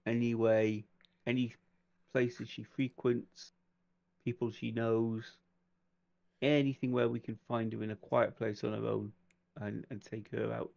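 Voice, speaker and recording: A man playing in a tabletop roleplay game, speaking in character in a polite, measured, conversational way. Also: mid-range voice, flat delivery, gravelly, darkish tone, no echo, lo-fi sound.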